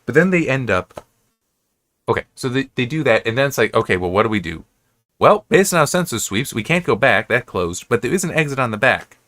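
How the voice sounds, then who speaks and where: An American man giving casual, unscripted commentary as a reviewer, halting and correcting himself as he rambles. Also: mid-range voice, lively intonation, fairly bright tone. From United States. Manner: halting, self-correcting, restarts and digresses often. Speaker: reviewer hosting an episode-by-episode analysis.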